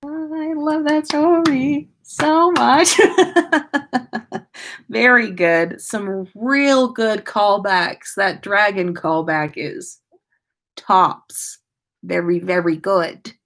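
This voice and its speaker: A woman with a mid-pitched voice hosts a relaxed story-reading stream. She speaks at an unhurried pace, moving between expressive narration and informal chat with her listeners. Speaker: podcast host reading listener fan fiction aloud.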